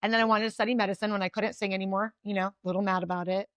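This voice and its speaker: A woman in her thirties with a New England accent talks fast and casually as an interview guest. Her voice has a raspy edge, and she fills her sentences with "like." The recording is dry and close. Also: medium pitch, steady tone, dry recording. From Connecticut, USA. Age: 30s. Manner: fast-talking, says "like" often. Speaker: podcast guest.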